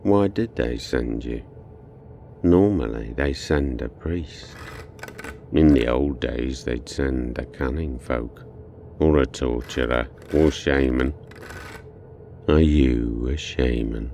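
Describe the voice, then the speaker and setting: An actor playing an eerie, composed boy murderer in an audio horror drama, with a gravelly, deliberate, pedantic delivery. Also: a bass voice, dark tone, slight background noise, heavily acted. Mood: probing, unsettling.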